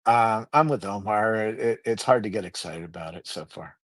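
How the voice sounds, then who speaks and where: A man speaking as a panel guest, giving skeptical opinions in a halting way. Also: lowish voice, dry recording, distant mic.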